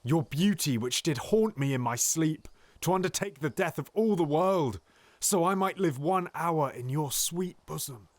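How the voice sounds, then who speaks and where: A scheming nobleman with a silver tongue in a Shakespearean audio play. His delivery is grandly theatrical, moving from hushed, pleading persuasion to loud exultation. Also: fairly high pitch, lively intonation, measured pace, dry recording. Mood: ardent pleading. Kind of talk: Shakespearean audio drama acting.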